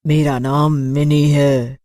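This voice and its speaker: A man's voice: a little high, level tone, slow, darkish tone, no room sound, clean studio sound. An actor playing the menacing, bitter ghost of a wronged schoolgirl in a cartoon horror story.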